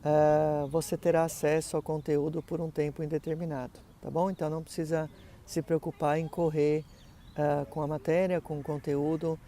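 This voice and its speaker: A man's voice: a little high, little pitch movement, moderate pace, warm tone, slight background noise. A middle-aged man teaching German, explaining how his online courses work in a conversational way, full of fillers and tag questions. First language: Portuguese.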